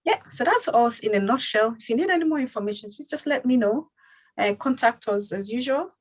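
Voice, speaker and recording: A woman's voice: fairly high pitch, animated, a little fast, husky. A woman hosting a community talk show, speaking casually and rambling with many fillers and restarts. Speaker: host.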